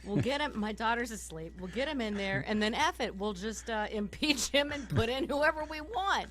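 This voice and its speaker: A woman with a hoarse voice from a summer cold, co-hosting a political talk radio show from home, ranting animatedly and laughing often as she talks. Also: on the high side, lively intonation, moderate pace.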